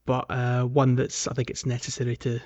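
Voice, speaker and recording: A man's voice: mid-range voice, completely flat delivery, brisk, a little mellow. A man co-hosting a podcast, discussing a game casually in a hesitant, explanatory way with meandering phrasing. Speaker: podcast co-host. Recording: dull, band-limited voice recording.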